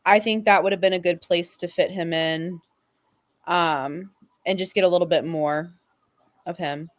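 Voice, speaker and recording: A woman's voice: fairly low pitch, steady tone, unhurried, no echo. A woman speaking casually as a fan panelist, in a hesitant, giggly manner. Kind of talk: casual chat.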